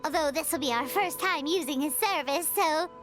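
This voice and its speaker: A woman voicing a small, childlike fairy companion in a video game, cheeky, chatty and expressive. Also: sits high, normal speed, faint music behind. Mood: eager. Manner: perky, expressive.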